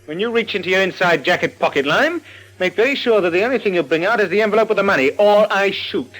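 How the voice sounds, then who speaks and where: A man's voice: sits high, expressive, fast, fairly bright tone, poor audio. A man playing a tense, threatening crook who barks curt demands in an old radio drama. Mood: menacing. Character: tense, menacing male crook demanding money at gunpoint. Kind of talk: vintage radio drama acting. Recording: vintage radio drama, faint music.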